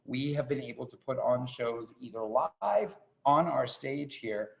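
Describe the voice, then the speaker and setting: A man hosting a show with an informal welcome speech, halting and often repeating his words. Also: medium pitch, vocal fry. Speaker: host introducing a theatre show. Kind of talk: informal welcome speech with thanks and shout-outs. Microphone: video call. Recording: muffled video call audio.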